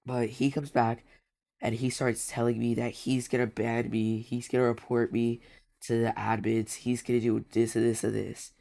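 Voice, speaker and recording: A young man tells a gaming storytime in a loose, slangy way, with the stuffed-up sound of a cold. His voice is gravelly at a middle pitch. He often trips over his words and repeats himself before moving on. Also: little pitch movement, normal speed, warm tone. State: congested from a cold. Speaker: gaming YouTuber. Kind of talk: casual storytime commentary.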